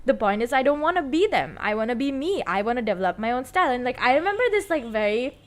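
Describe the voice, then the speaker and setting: Chatty young woman, slightly high voice. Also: sing-song, fast talker. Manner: chatty, rambling, full of "like" and "you know"; exasperated storytelling. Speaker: singing streamer. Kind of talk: casual chat to viewers.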